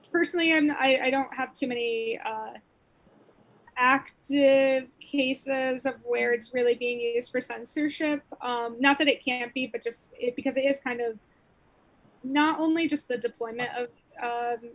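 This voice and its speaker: A woman's voice: a little high, no echo, low-quality recording. A woman organizing a community network, explaining things casually with many fillers and restarts over a video call. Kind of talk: casual explanation in an online meetup.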